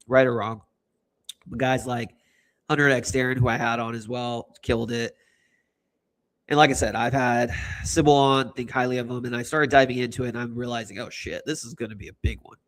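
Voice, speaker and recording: A man's voice: mid-range voice, fairly bright tone. A man hosting a casual livestream, talking in a hyped, rambling, slangy way. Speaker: host.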